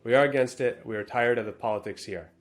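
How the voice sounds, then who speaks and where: A man's voice: mid-range voice, fast. A man co-hosting a casual talk show, reading out a viewer's letter and adding dry, matter-of-fact comments between passages. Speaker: co-host.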